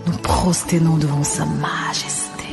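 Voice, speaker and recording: A woman singing slow devotional worship phrases. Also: deep voice, little pitch movement, gravelly, music in the background.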